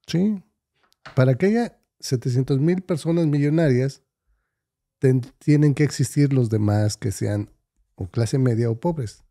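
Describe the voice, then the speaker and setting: A skeptical Mexican man in his thirties counters his co-host with examples and statistics. His voice is gravelly and dark-toned. It is recorded dry on a close studio mic. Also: medium pitch, measured pace, no echo. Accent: Mexican Spanish. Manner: skeptical, reasoning with examples, laughs often. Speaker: podcast co-host. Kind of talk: heated casual debate. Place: small studio with foam panels. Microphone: studio mic, headphones.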